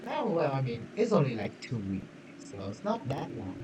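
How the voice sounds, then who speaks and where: A man's voice: medium pitch, huge pitch swings, normal speed, slight background noise. A man streaming a game with casual, hesitant, musing commentary. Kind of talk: casual live playthrough commentary. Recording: loud game music over voice. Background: loud game music.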